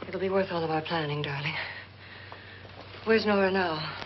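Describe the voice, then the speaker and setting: A woman's voice: lowish voice, moderate pace, lightly acted. An actress playing an impatient, anxious woman scheming a murder in a 1950s horror film, with urgent delivery. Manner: urgent, questioning. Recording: muffled, hissy old film soundtrack.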